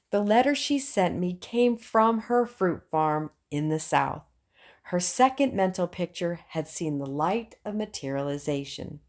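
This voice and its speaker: Female audiobook narrator, slightly low voice, reading scripted prose. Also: a little mellow. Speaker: audiobook reader.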